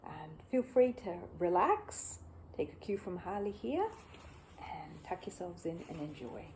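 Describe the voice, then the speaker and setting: A woman reading a children's book aloud as an expressive storyteller. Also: fairly low pitch, measured pace, slight background noise. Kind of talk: expressive storybook narration with a casual greeting.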